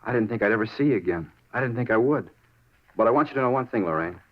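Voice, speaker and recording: A man's voice: medium pitch, expressive, quick pace, natural voice. An actor playing a troubled, remorseful man in a 1950s radio crime drama, earnest and insistent. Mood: remorseful, pleading. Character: troubled, remorseful man fixated on his confession. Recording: dull 1950s radio recording.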